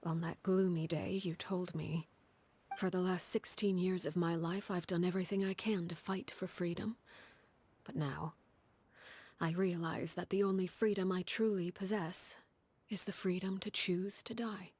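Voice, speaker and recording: Brief: composed, cold house leader played by a woman, lowish, quiet, solemn delivery. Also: slight background noise, lightly acted. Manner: calm, measured, quiet and solemn. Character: cold, composed woman who heads an orphanage, stern matriarch. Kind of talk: video game voice acting. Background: faint game music.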